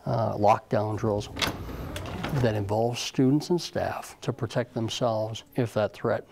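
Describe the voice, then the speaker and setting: A man's voice: fairly low pitch, fairly even intonation, slow pace, vocal fry. A man who is a school safety official, explaining in an interview. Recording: loud background noise.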